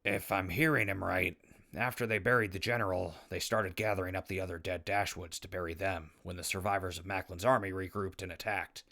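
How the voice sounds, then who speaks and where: A man acts out an irreverent ghoul wanderer in an audio drama. His voice is fairly low and full of gravel. He talks casually, with dry, sardonic ease. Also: steady tone, a little fast, bright, forceful tone, heavily acted. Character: wry, easygoing, irreverent ghoul wanderer narrating his journey. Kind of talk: post-apocalyptic audio drama acting.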